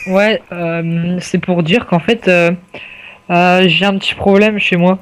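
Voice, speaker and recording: A woman's voice: on the low side, fairly even intonation, slow pace. A woman speaking casually and hesitantly on a prank phone call. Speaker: prank caller.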